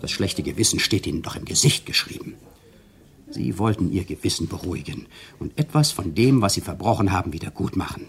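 A manipulative, silver-tongued male swindler in love, with a low voice. His delivery swings widely, theatrical and intimate, slipping into murmurs, in the manner of a radio crime play. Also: lively intonation, a little fast, vocal fry. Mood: indignant, aggrieved. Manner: intimate, theatrical, often drops to murmurs and whispers. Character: smooth-talking male conman lover, self-pitying, manipulative. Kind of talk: German radio crime drama acting.

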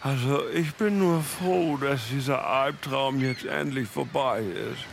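A male spa patient speaks slowly in a creaky, mid-range voice. He is earnest and wary, in a radio comedy scene with clear background noise. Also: medium pitch. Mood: relieved.

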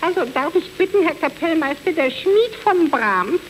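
A woman's voice: very high voice, animated, moderate pace, rough recording. A woman playing an auditioning singer in an old cabaret radio sketch, on an archive recording with music. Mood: eager, polite.

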